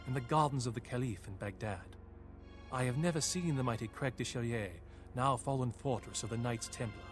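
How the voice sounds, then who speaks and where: A man acting as a captured crusader knight who tells his tale solemnly. His delivery is measured and formal, at an ordinary male pitch, with prominent music underneath, as in a strategy game cutscene. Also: natural voice. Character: captured Norman crusader narrating his years with Saladin, reflective, solemn. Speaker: campaign narrator. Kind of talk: scripted dramatic storytelling narration. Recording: voice over a clear music bed.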